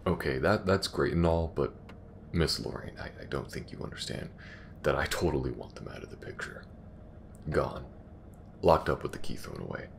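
A man playing a wary, skeptical police detective in an audio drama, gravelly, blunt and sardonic in conversation. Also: a bass voice, normal speed, slight background noise. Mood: skeptical, frustrated. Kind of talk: dramatic audio roleplay acting.

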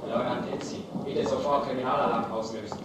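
An actor playing a dutiful police desk assistant with a clipped, official manner in a crime drama. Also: male voice, mid-range voice, steady tone, a little fast, close to an everyday voice. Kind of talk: German film crime drama acting. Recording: echoey, noisy old film soundtrack.

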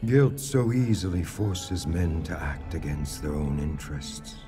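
An actor as the grave storyteller of an ancient Greek legend in a video game, narrating in a solemn, measured way. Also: male voice, a bass voice, fairly even intonation, music in the background. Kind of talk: scripted epic narration.

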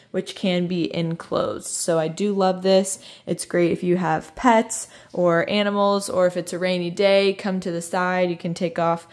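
A woman real estate agent giving an upbeat, chatty walking tour of a house, filming it herself on a phone. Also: lowish voice. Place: inside and around a house, voice-over while filming.

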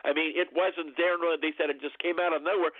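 A man's voice: on the high side, fairly even intonation, fairly quick, no echo. Older man on a narrow phone line. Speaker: guest, paranormal researcher. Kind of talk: anecdotal interview talk. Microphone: telephone call.

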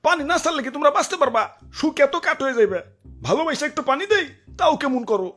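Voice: high-pitched, very animated, quick pace, fairly bright tone. A performer voicing a smug, greedy cartoon dam keeper who talks in an exaggerated, self-righteous comic way.

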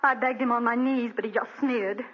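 A woman's voice: fairly high pitch, steady tone, a little fast, husky. An actress playing an anxious, devoted young wife and mother, tender and pleading, in a 1940s radio drama. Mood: distressed. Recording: dull restored 1940s radio recording.

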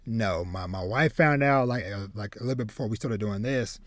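A man in his thirties hosting a casual podcast, speaking haltingly and often repeating words. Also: fairly low pitch, no room sound, studio quality. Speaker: podcast host. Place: small home room with shelves, video call. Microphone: close desk mic.

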